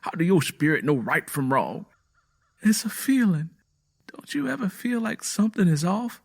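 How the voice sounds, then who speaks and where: A man's voice: high-pitched, sing-song, moderate pace, creaky, pitched above the performer's own voice, heavily acted. A man voicing an earnest, anxious, devout young wife with an American Southern accent and a pleading rural way of talking, in a narrated story. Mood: uneasy.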